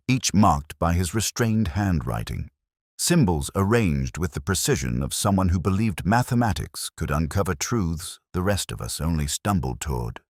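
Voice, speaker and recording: A man with an extremely deep voice narrates a detective mystery in the first person. His delivery is solemn and measured, with lively movement in pitch across carefully literary sentences. The sound is close and dry, free of room echo. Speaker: audio drama narrator.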